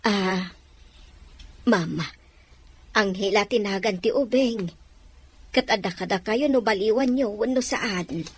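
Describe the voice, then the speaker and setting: Middle-aged mother, slow and husky, pleading and deferential drama role, played by a woman. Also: mid-range voice. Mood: earnest, deferential. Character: pleading 46-year-old mother giving up her baby. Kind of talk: Ilocano audio drama acting.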